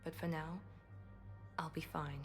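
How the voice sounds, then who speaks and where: An actress playing a composed, guarded woman operative in a video game, speaking in a measured, wry, restrained way. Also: low-pitched, level tone, soft background music. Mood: guarded, resolute. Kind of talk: video game cinematic dialogue acting.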